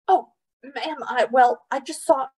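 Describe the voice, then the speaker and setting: A woman's voice: high-pitched, slow, a little mellow, breathy edge, pitched above the performer's own voice, exaggerated voice. A woman voicing an earnest, flustered young boy in a storybook, breathless and stammering. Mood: nervous.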